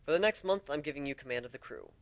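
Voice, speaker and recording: A man's voice: a little high, lively intonation, racing, gravelly, no echo. A man voicing a wounded male griffin captain in a story, wry, plaintive and emphatic, blaming himself yet devoted. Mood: earnest.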